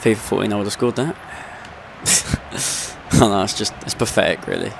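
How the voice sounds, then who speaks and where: A man's voice: on the low side, expressive, moderate pace, vocal fry, slight background noise, rough recording. A young man with a slight cold, ranting in casual gameplay commentary and swearing freely. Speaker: gaming video host.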